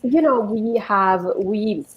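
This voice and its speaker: A woman hosting a casual interview in a halting, conversational way, with some noise behind her. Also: mid-range voice, highs cut off, a crowd in the background. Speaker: host, singing group member.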